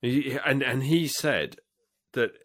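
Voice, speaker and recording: A man's voice: medium pitch, expressive, slow, fairly bright tone, no room sound. Joking British man, close dry sound. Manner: jokey, colloquial, playfully exaggerated. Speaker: podcast co-host. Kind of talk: casual comic banter.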